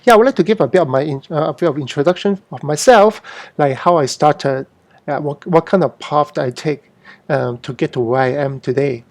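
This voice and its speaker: A middle-aged man from Hong Kong with a slightly high voice talks informally to an online audience. He speaks off the cuff as an artist hosting a Q&A, haltingly, doubling back on words and correcting himself. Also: creaky, a little mellow. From born in Hong Kong, moved to Singapore as a teen. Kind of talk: informal unscripted livestream talk.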